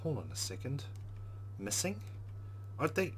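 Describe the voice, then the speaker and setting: A man narrating a visual novel aloud in a dramatic, expressive read-aloud style, with muttered asides. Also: mid-range voice, moderate pace, slight background noise. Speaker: let's play narrator.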